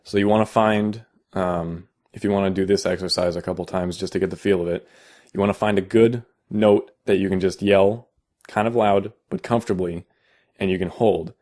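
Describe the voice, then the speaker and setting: Deep-voiced young man with halting speech. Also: steady tone, darkish tone. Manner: halting, many ums and restarts. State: out of vocal shape. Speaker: vocal tutorial maker. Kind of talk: casual how-to explanation.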